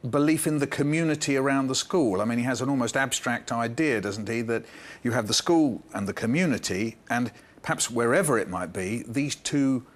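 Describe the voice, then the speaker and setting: A man's voice: medium pitch, moderate pace. A man hosting a discussion programme about books, presenting and putting questions to guests. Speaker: programme host.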